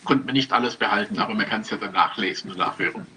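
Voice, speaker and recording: A man's voice: lowish voice, fast talker, sharp tone, slight background noise. A man in his seventies with a Swabian accent, introducing himself as a guest over a video call with dry humor. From Stuttgart, Germany. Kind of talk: relaxed self-introduction with dry jokes.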